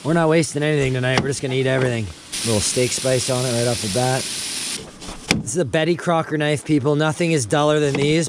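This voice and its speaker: A young man vlogging casually while he cooks outdoors beside his truck, upbeat and chatty, laughing often and talking to his viewers, recorded on the camera mic. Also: mid-range voice. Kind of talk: casual vlog while cooking. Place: outdoors in a snowy parking lot by a pickup truck. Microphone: camera mic, handheld and head-mounted point of view. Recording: noisy outdoor camera audio.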